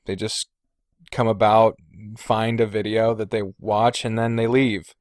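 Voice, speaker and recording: A man's voice: on the low side, flat delivery, a little slow, no room sound, clean studio sound. A man talking casually as a podcast host, candid and rambling, hesitating and qualifying himself, with casual swearing. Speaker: podcast host and video creator.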